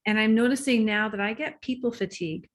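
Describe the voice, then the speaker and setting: A woman guest, a relationship coach, talking in a casual interview. She is excitable, laughs easily and sometimes loses her train of thought. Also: a little high, fairly quick.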